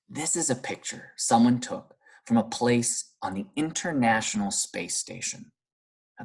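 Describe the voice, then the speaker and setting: An American man teaching young children in a read-aloud lesson, using simple, encouraging phrases and questions with pauses for answers. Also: medium pitch, husky. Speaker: primary school teacher.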